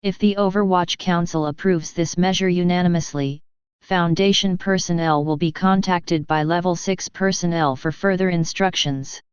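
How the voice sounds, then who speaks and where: A woman with a slightly low, very clean and resonant voice narrates a formal scripted document. Her delivery is measured and impersonal. The recording is close and free of echo, though a little dull and lo-fi. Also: fairly even intonation, smooth. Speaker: narrator reading a fictional archive document.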